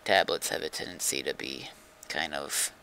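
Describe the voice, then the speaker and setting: A man's voice: rumbling low, moderate pace, sharp tone, slight background noise, poor audio. A man chatting casually on a gaming livestream, rambling and thinking aloud as he goes.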